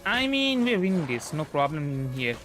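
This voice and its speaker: High-voiced young Bengali man streaming a game. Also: big pitch swings, normal speed, a bit bright. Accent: Indian Bengali. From India. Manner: hesitant, rambling, repeats himself. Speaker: livestreamer, VTuber. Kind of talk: casual gameplay commentary. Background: game music.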